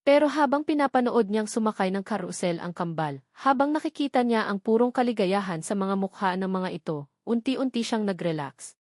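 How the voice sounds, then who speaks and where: Woman reading a love story, steady and emotive, very dry close mic. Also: mid-range voice, brisk, no room sound. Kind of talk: dramatic scripted story narration.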